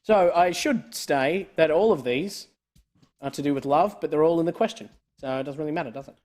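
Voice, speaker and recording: A man's voice: fairly high pitch, brisk, soft background music. A man born in Scotland hosting a live online quiz, joking with his co-host and laughing often. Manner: jokey, laughing often, trailing off quietly.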